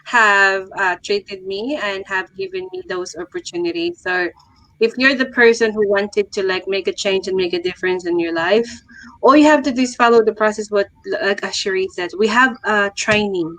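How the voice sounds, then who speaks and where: A woman gives a personal testimonial in a casual, chatty way, full of fillers. Her voice is dry and close, with no room sound, at a mid-range pitch. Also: moderate pace, fairly bright tone, no room sound, low-quality recording. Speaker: guest sharing her story.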